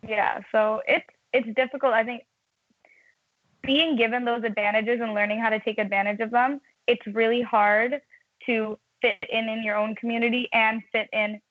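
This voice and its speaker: A woman's voice: fairly high pitch, fairly even intonation, normal speed, no echo. Woman on a tinny phone line. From India. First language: not English. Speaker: guest. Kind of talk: casual conversation.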